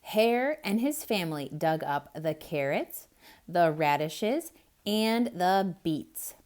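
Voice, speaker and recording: A woman reading a picture book aloud to children in an expressive style, pausing to ask the listener questions. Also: fairly low pitch. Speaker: children's storyteller. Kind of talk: theatrical read-aloud for kids.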